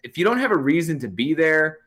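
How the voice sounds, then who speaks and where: A man's voice: on the high side, very animated, a little fast, a bit bright. A young American man, a guest in a casual podcast chat, rambling and swearing, with jokey acted-out quotes. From United States.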